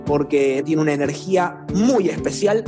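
A man's voice: a little high, lively intonation, normal speed, music in the background. A young man from Rosario, Argentina, speaking with a Rosario accent, recounting a personal story in a candid, reflective way. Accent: Rosario Argentine Spanish. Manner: candid, reflective recollection with occasional laughter. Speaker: interviewee.

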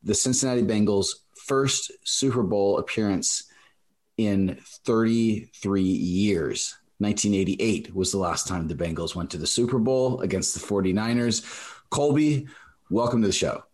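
A man in his forties hosts a relaxed interview show. His voice sits fairly low, and he talks at length, drifting into asides as he sets things up. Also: a little slow. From South Central Alaska. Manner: talkative, explains at length with frequent asides. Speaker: podcast host. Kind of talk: conversational interview.

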